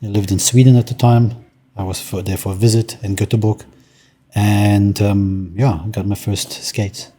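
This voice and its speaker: A man, an artist speaking in a casual interview, pausing often with ums and restarts. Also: lowish voice, little pitch movement, warm tone. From Germany. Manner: hesitant, many ums and restarts. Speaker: artist guest.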